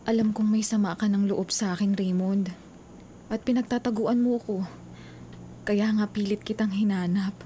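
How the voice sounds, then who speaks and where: A woman's voice: mid-range voice, steady tone, normal speed, warm tone, slight background noise, lightly acted. An actress playing a remorseful, insistent young woman in a Filipino radio drama, pleading earnestly. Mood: pleading, urgent.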